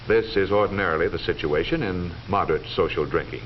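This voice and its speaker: An American man narrating a 1940s educational film in a formal, measured, authoritative and precise manner, on an old film soundtrack. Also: sits low, muffled recording, slight background noise.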